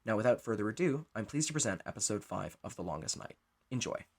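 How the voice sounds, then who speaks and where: A man's voice: on the low side, expressive, quick pace, breathy edge. A man giving a scripted introduction as the writer and director of a show.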